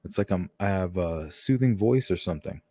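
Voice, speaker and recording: A man's voice: deep voice, lively intonation, fairly quick, mellow. A man hosting a livestream, reading viewer comments aloud and joking with them in a casual, chatty way. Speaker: livestream host reading chat. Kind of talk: casual live chat.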